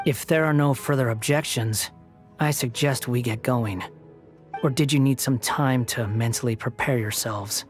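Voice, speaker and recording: An arrogant young man from a video game, acted by a man, speaking at a medium pitch. He is coolly condescending and taunting, with dry sarcasm, over faint background music. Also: moderate pace, close to an everyday voice. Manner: snide, smooth, taunting.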